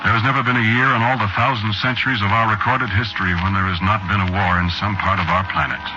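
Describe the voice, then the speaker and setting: Radio drama casting: a man plays grave, elegiac mourner of a lost world, low voice, noisy vintage broadcast. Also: fairly even intonation, highs cut off, close to an everyday voice. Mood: sorrowful, solemn. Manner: solemn, deliberate, elegiac. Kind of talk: 1940s radio drama monologue.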